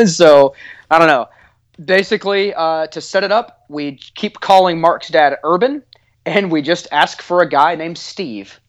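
A man co-hosting a comedy podcast, chatting casually in an excitable, giggly way. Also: on the high side, unhurried. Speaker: podcast co-host. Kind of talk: casual chat about prank calls.

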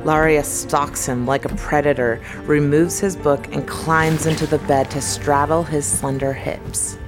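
A woman with a very low voice narrates a scripted audio drama. She delivers storytelling narration while music plays clearly behind her. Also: fairly even intonation, a little slow.

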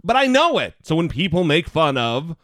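A man's voice: a little high, very animated, normal speed, very noisy. A man co-hosting a podcast in casual political chat, with a goofy voice and a playful, sarcastic, teasing manner. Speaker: podcast co-host.

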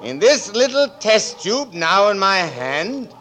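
A man's voice: high-pitched, sing-song, slow pace, a bit bright, a little hiss or hum, full character voice. A male actor in a 1940s radio drama playing a grave, urgent scientist with an emphatic manner.

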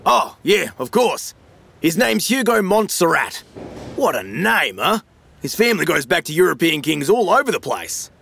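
An actor playing a slippery, matey Australian financial dealer in an audio drama, full of slangy, glib sales patter. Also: male voice, a little high, sing-song, normal speed, vocal fry, a bit bright, husky, exaggerated voice. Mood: enthusiastic, selling.

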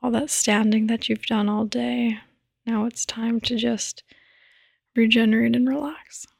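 A woman gently guiding a sleep meditation in a soft, breathy, soothing voice that often nears a whisper, close to the microphone. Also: fairly high pitch, steady tone, a little slow, no room sound. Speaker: podcast host and meditation guide. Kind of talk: guided meditation, sleep podcast.

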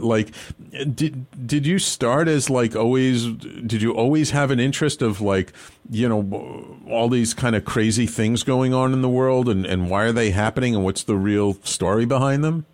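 A man hosting an interview podcast, asking questions in a halting way with many fillers and restarts. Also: mid-range voice, a little mellow. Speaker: podcast host.

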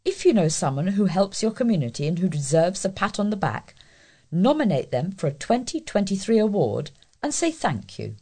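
A woman reading local news and letters aloud as a volunteer, in a deliberate, scripted delivery. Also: medium pitch, sing-song, dark tone, breathy edge. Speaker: volunteer newspaper reader.

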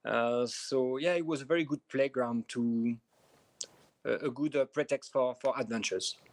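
A man from France with a French accent, guesting on a podcast interview and answering in a measured way, pausing often with small fillers. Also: medium pitch, no echo. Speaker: film director guest.

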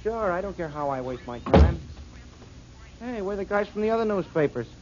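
An actor playing Jerry, a wisecracking newspaper reporter, in a 1940s radio drama. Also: male voice, sits high, lively intonation, moderate pace, heavy background noise. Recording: dull 1940s radio recording.

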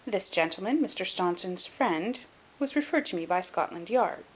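Woman reading as a lively, shrewd gentleman detective. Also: lowish voice, slightly rough, low-quality recording. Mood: composed, polite. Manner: measured, articulate, dry. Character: shrewd, composed gentleman detective, dryly superior. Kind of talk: audiobook character acting.

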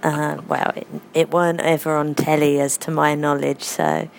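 Creaky-voiced London woman, faint background noise. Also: deep voice, flat delivery, moderate pace, rough recording. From London, England. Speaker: caller to a podcast. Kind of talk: casual, rambling voicemail chat.

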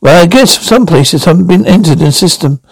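A man's voice: fairly high pitch, lively intonation, brisk, gravelly, slightly rough, no echo, poor audio. A man retelling a story and reading its dialogue, stumbling with many restarts.

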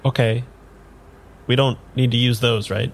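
An actor playing a nervous, hesitant amnesiac man in an improvised radio drama, talking in a halting, understated way. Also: medium pitch, level tone, vocal fry, slight background noise. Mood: uneasy, hesitant. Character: nervous, hesitant amnesiac man having his tarot read.

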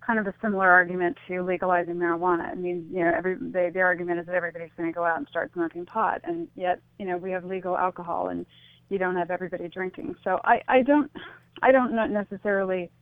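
A woman's voice: fairly low pitch, steady tone, quick pace. A woman giving reflective, tentative interview answers as a guest, explaining things through anecdotes and analogies. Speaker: interview guest, author and speaker on suicide loss. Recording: tinny phone line, echoey.

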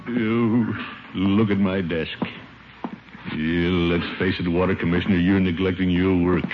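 An actor playing a pompous, flustered middle-aged bachelor with a blustery, excuse-making way in a 1950s radio comedy. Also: male voice, sits low. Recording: dull, noisy old radio recording.

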